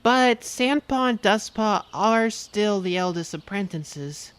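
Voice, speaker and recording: A woman voicing a timid young male apprentice cat who talks shyly and slowly. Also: mid-range voice, animated, clear, resonant tone. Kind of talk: fan audiobook voice acting.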